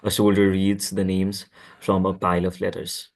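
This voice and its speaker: A man's voice: sits low, monotone, normal speed, creaky. A man narrating a script at a table read, reading out stage directions.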